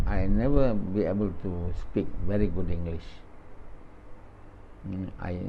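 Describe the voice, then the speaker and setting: An older man from Malaysia, whose first language is Malay, gives a religious talk after dawn prayer in English with a Malaysian accent. He speaks haltingly and repeats words, in a small indoor room. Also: sits low, mellow, highs cut off, a little hiss or hum. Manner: halting, repeats words, opens with Arabic recitation.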